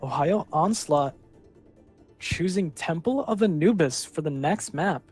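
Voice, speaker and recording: Slightly high-voiced male esports caster. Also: expressive, measured pace, darkish tone, breathy edge, soft background music. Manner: rambling, self-deprecating asides between calls. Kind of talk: live game commentary.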